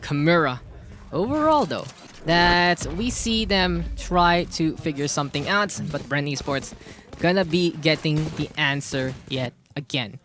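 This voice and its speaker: A man with a Filipino accent doing live play-by-play esports commentary in an animated, slangy hype style. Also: on the high side, unhurried, a little hiss or hum.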